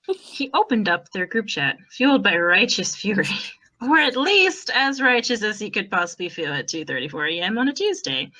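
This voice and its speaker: A woman's voice: mid-range voice, pushed and bright, poor audio. A woman reading fan fiction aloud as narrator, with wry, dramatic emphasis.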